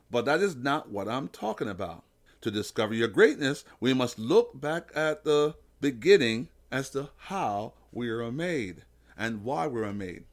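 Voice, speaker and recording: A man giving an emphatic, preacher-like motivational talk on faith as a podcast host. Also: medium pitch. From Jamaica. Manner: emphatic, preacher-like, illustrates with simple analogies.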